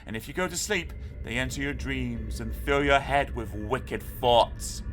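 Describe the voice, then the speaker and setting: Man doing a high squeaky schemer kid, spooky and bossy. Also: measured pace, fairly bright tone, faint music behind, natural voice. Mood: scheming. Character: lonely, scheming boy who loves real mischief.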